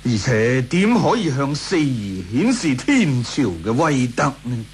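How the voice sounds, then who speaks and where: A man's voice: medium pitch, big pitch swings, a little slow, a little hiss or hum. A man with a Hong Kong Cantonese accent playing a scheming, deferential imperial councillor in a radio drama, speaking persuasively. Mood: coaxing.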